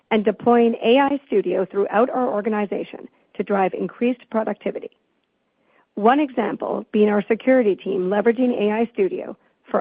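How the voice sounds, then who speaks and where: A woman company finance executive reading a scripted earnings call presentation in a formal, measured manner. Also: medium pitch, no room sound. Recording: tinny phone-like conference call line.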